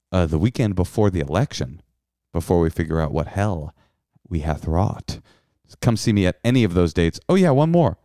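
A man with a fairly low voice co-hosts a comedy podcast from a hotel room. He jokes and teases in casual banter, often poking fun at himself. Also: warm tone, clean tone. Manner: joking, teasing, self-mocking. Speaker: podcast co-host.

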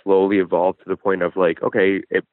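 A man's voice: sits low, monotone, a little fast, no room sound. A man calling in to a show, talking casually, hesitantly and with swearing about his own troubles over a phone line. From New Jersey. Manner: hesitant, profane. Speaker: phone-in caller. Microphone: phone call. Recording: muffled band-limited phone line.

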